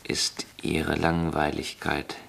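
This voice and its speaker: A gruff, brooding young soldier on leave in a radio play. His voice sits very low with a gravelly rasp. He is quick to anger and heavy with bitterness. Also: monotone, a bit bright, slight background noise, full character voice. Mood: resigned. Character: embittered young male soldier on leave, hot-tempered.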